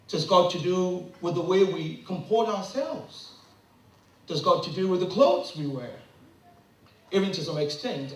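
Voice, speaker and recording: A middle-aged man from Ghana, giving an impassioned public address full of rhetorical questions that rise to emphatic peaks. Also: high-pitched. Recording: very echoey room, mic from a distance.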